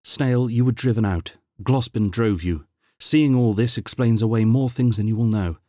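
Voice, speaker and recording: A man's voice: mid-range voice, moderate pace, mellow, no echo, studio quality, close to an everyday voice. A man voicing an earnest, scholarly young woman who explains things carefully. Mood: firm.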